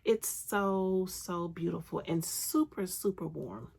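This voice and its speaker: A woman hosting a crafting podcast from home, her voice raspy, husky and congested after being sick. There is a gravelly fry under her mid-pitched talk. She chats along eagerly, with plenty of ums. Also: slow pace, darkish tone. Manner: chatty, enthusiastic, frequent ums. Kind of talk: casual solo podcast chat.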